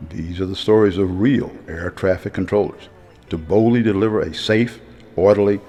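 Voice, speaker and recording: A man's voice: low voice, moderate pace, mellow. A man reading a scripted promotional introduction as a narrator.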